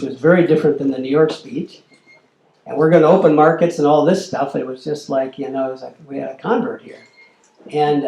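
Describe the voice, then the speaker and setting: An older man giving an anecdotal lecture, an animated storyteller who acts out quotes. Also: mid-range voice, roomy sound, low-quality recording. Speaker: guest lecturer. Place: lecture room.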